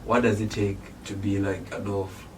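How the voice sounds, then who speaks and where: South african guy hosting a chill interview, kinda low voice, mic a bit far off. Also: level tone, normal speed. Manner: laid-back slangy, code-switching. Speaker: host. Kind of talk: casual interview. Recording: distant mic with faint noise.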